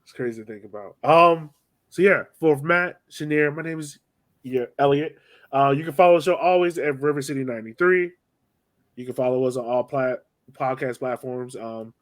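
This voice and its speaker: A man's voice: medium pitch, normal speed. A man hosting a casual sports podcast, chatting informally and speaking straight to his co-hosts. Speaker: podcast host.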